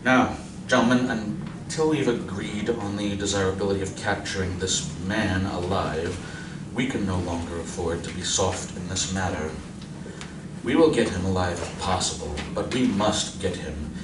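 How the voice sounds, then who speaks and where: Man playing a deep-voiced stern army general. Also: moderate pace, natural voice. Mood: stern, resolute. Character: stern male army general commanding a council. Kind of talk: live radio drama acting. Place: library community room, live performance. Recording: echoey community room, distant, noisy.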